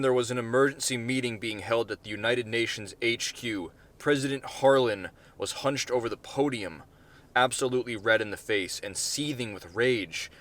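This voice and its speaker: A man's voice: medium pitch, a bit bright. A male author reads his short story aloud in a mid-range voice. The narration is informal, with casual, sweary asides.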